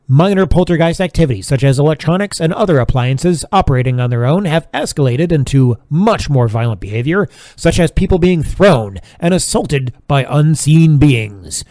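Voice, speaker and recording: A man's voice: on the high side, a little mellow, no room sound, natural voice. A man playing a sensational radio news reporter, giving an emphatic, formal mock bulletin in an audio drama.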